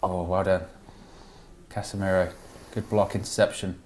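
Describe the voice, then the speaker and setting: A young man watching a football match live with friends, calling the play-by-play and reacting excitedly. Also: sits low, normal speed, slight background noise.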